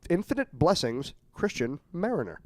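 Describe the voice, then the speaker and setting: A man's voice: a little high, sing-song, moderate pace, no echo. A man hosting a casual podcast, reading quiz facts with mock drama and joking with his co-hosts. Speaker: podcast host.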